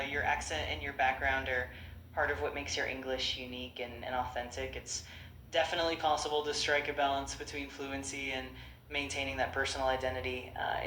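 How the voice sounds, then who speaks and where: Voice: medium pitch, sharp tone, roomy sound, a little hiss or hum, poor audio. An AI conversation partner giving upbeat, reassuring, polished replies in encouraging chatbot style.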